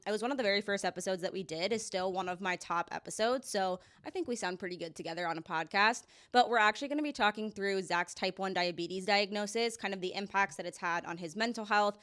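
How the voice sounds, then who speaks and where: A woman's voice: mid-range voice, a bit bright, clean tone, dry recording, clean studio sound. Woman, podcast host, casual chat, upbeat, slightly stuffy from a cold. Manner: upbeat, chatty, explains things plainly.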